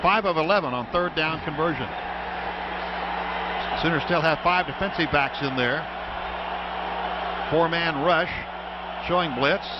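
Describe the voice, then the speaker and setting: An American man calling football play-by-play on the radio in clipped running descriptions that get excited on big plays. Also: on the high side, measured pace, long pauses, a loud crowd. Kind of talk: live American football commentary. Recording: dull, noisy radio broadcast from stadium.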